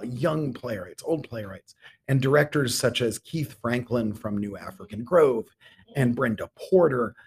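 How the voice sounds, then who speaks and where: A man's voice: mid-range voice, a little mellow, a little hiss or hum. A middle-aged man speaking as a theatre director being interviewed on a podcast, articulate and enthusiastic, with ornate turns of phrase.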